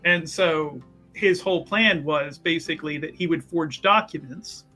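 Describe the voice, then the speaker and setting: A man describing his character in a tabletop roleplaying game, his voice bright and slightly raised in pitch. He rambles and restarts often, stacking fillers as he thinks aloud, with faint music playing underneath. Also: unhurried. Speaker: player. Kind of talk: actual-play tabletop roleplay.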